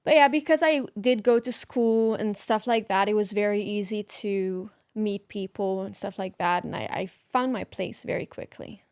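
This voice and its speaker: A young woman from the Netherlands chatting as a guest in an interview, relaxed and talkative with frequent ums. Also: mid-range voice, moderate pace, few pauses, clean tone, no echo, clean studio sound. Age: young adult. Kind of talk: casual interview chat.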